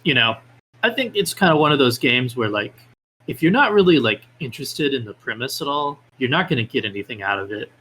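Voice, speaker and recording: A man's voice: mid-range voice, lively intonation, a little fast, bright, forceful tone, slight background noise, poor audio. A man being interviewed as a guest, talking casually with hesitant phrasing and lots of filler words. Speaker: podcast guest, independent game developer.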